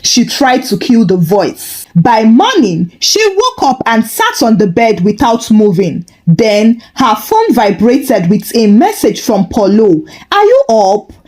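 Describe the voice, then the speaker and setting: A woman narrating a scripted dramatic story in a deliberate, expressive storytelling manner. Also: on the high side.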